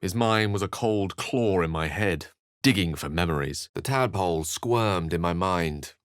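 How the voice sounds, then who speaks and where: A man's voice: sits low, a little slow, clean tone, no room sound, studio quality. A male actor voicing a bookish, courteous wizard for a video game, speaking in wry, brooding, articulate and literary phrases. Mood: ominous narration. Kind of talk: video game voice acting, narration and dialogue.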